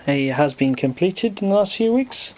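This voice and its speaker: Higher-voiced British man, casual demo. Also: expressive. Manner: halting, offhand explanation. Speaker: hobbyist presenter. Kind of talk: casual home demonstration. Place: small cluttered room at home. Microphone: handheld camera microphone. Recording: slightly muffled lo-fi camera audio.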